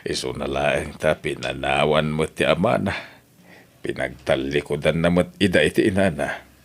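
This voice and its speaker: A man playing a very deep-voiced elderly Ilocano father. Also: steady tone, normal speed, gravelly. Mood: fond. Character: elderly father, devoted and sentimental family man. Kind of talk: Ilocano audio drama acting.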